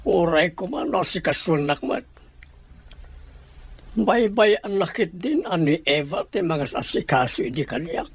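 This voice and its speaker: A man playing a grave, suspicious wronged husband in a radio drama, with a husky voice. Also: on the high side, expressive, measured pace, heavily acted. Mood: troubled.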